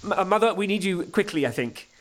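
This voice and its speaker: A woman playing a shy young engineer, someone's grown child, in an audio drama, halting and tentative. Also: medium pitch, expressive, natural voice. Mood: uneasy, halting. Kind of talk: naturalistic audio drama acting.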